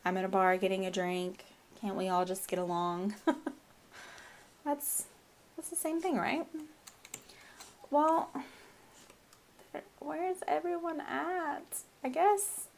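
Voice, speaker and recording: A woman's voice: high voice, fairly even intonation, slow, gravelly, pauses often, a little hiss or hum. A woman in her thirties with a Southern US accent, chatting casually over her gameplay, her voice a little off from a lingering head cold and often breaking into giggles. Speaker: gameplay video host.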